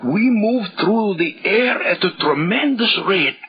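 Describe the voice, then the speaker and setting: A man's voice: high-pitched, lively intonation, breathy edge, heavily acted. A man playing a formal, grave, anguished scientist in an old-time radio horror drama. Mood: grave, shaken. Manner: formal, halting, solemn delivery. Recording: muffled lo-fi old radio recording.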